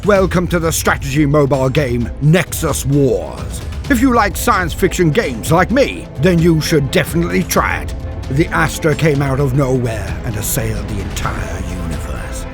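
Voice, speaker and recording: A man's voice: on the high side, big pitch swings, normal speed, over music. A man narrating as a video host from a script, with formal, theatrical phrasing.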